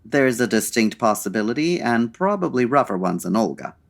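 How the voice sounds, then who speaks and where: An actor playing a suave, wry 1940s gentleman detective in a comedic radio drama, with dry, urbane and formal delivery. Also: medium pitch, very animated, fairly quick, gravelly. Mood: dry, composed. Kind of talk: comedic old-time radio drama acting.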